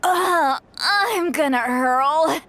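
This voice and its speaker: Dramatic, high-pitched tipsy shaman granny. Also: expressive, drawn-out words, a bit bright, full character voice. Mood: queasy. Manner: dramatic, flustered, self-pitying. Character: tipsy, easily embarrassed, centuries-old shaman woman who looks young. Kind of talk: video game voice acting. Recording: game music under the voice.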